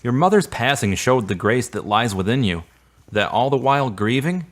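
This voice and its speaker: A man's voice: medium pitch, lively intonation, normal speed, natural voice. An actor playing a supportive, slightly awkward man in a radio drama, speaking earnestly and a little formally. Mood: earnest, consoling. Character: Derek, earnest, supportive, awkward male friend. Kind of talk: serial radio drama acting.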